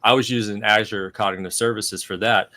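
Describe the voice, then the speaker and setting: A man speaking as a guest in a casual chat, halting often with "uh" and "um". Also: lowish voice, fairly even intonation, bright, forceful tone. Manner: halting, many "uh" and "um". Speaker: guest panelist.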